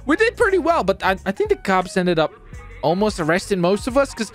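A man chatting casually as he plays a roleplay game, excitable, swearing freely and repeating his phrases. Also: high-pitched, a little fast, faint music behind. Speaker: video host and roleplay gamer. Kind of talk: casual chat while playing a crime roleplay game.

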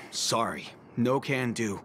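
An actor playing a stubborn, flustered security guard in an anime video game, speaking in a dramatic, exasperated and apologetic way. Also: male voice, medium pitch, expressive, very slow, pushed and bright, a little hiss or hum, heavily acted. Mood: apologetic, pleading.

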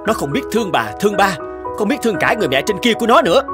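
A man's voice: sits high, sing-song, very fast, music in the background. A man voicing a stern, overworked middle-aged father in a Vietnamese cartoon, scolding and exasperated. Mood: angry scolding.

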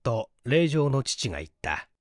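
A man's voice: mid-range voice, very animated, unhurried, no echo. A man narrates a story from a script in the manner of an audiobook reader. The recording is dry and close, with no room around the voice.

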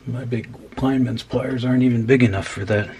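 A man casually talking through a hands-on repair as host, unhurried and dryly humorous. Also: medium pitch, steady tone. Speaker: host of an electronics repair video. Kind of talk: casual hands-on demonstration commentary. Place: indoor workbench. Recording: clear background noise.

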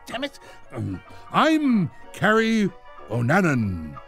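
Halting, flustered station announcer. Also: male voice, a little high, wildly swooping pitch, creaky, over music, full character voice. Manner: halting, repeatedly stumbling. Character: flustered, bumbling American radio station announcer.

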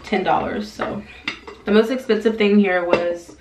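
A woman's voice: mid-range voice, fairly even intonation, a little slow. Young American woman in an echoey bathroom. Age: young adult. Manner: informal, thinking aloud while she works. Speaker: lifestyle vlogger. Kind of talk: casual chatty vlog talk. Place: small tiled bathroom, indoors. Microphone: camera mic at arm's length or propped nearby. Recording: echoey bathroom, clear household clatter noise.

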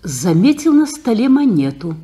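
A woman narrating a radio drama's action in a crisp, matter-of-fact way. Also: on the high side, big pitch swings, normal speed, very noisy.

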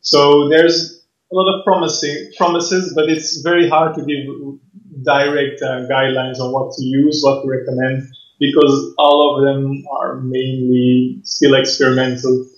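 Flat-voiced Belgian physio on a roomy line. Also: male voice, mid-range voice. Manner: measured, explanatory, frequent fillers. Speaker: physiotherapist guest. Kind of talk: online course interview. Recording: roomy, dull lo-fi sound.

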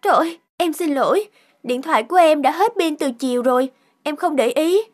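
A woman's voice: high voice, animated, a little fast. A woman voicing a sweet, evasive young wife in a cartoon. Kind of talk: Vietnamese cartoon dubbing.

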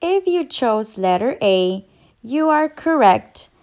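Casting: female teacher for kids' read-aloud, high voice, very unhurried, encouraging and instructional. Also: very animated, darkish tone. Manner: instructional, encouraging, addressing young children. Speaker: teacher and children's story reader. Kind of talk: children's read-aloud and quiz.

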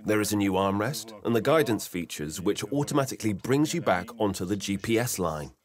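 Older French farmer, testimonial, measured delivery, deep voice. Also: male voice, animated. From France. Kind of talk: customer testimonial interview. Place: tractor showroom.

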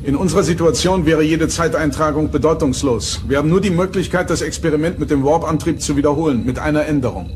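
Guy playing a serious starship captain, formal orders, bit high voice, music behind him. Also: quick pace, few pauses, natural voice. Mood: grave. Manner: formal, authoritative. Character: commanding, earnest male starship captain. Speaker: voice actor. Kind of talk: German audio drama acting. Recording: studio drama with music underneath.

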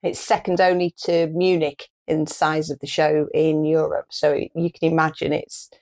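A woman's voice: deep voice, little pitch movement, normal speed, a little mellow. A woman in her fifties with a British accent, chatting casually as a co-host. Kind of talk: casual chat. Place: small furnished room, indoors.

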